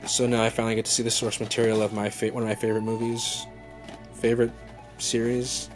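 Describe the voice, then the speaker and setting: A man's voice: medium pitch, level tone, quick pace. A young adult man hosting a vlog, talking in a casual, unscripted, rambling way as an enthusiastic fan who often corrects himself. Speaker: vlog host. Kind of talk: casual unscripted unboxing chat. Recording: music playing behind the voice. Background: background music.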